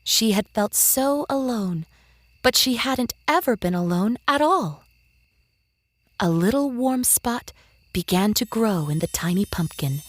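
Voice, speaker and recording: A woman narrating a scripted children's story in a gentle, expressive, measured way for very young listeners. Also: medium pitch, slightly rough, no room sound.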